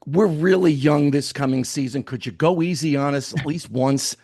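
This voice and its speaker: A man's voice: fairly high pitch, moderate pace, darkish tone. A man hosting an interview, speaking in casual, friendly banter with his guest. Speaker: show host, high school pitching coach.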